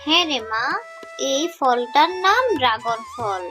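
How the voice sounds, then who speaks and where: A woman's voice: fairly high pitch, expressive, unhurried, sharp tone, faint music behind. A woman voicing a kindly, patient mother fox in a children's cartoon. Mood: surprised, caring.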